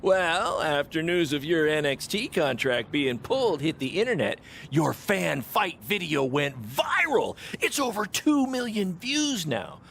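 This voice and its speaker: High-pitched, swooping wrestling promoter. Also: male voice, sing-song, a little slow, gravelly, hardly any pauses, a bit bright, slight background noise, exaggerated voice. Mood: excited, persuasive. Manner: salesman-like, self-satisfied, theatrical. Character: brash, scheming indie wrestling promoter, relentlessly upbeat hype man. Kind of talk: video game cutscene acting.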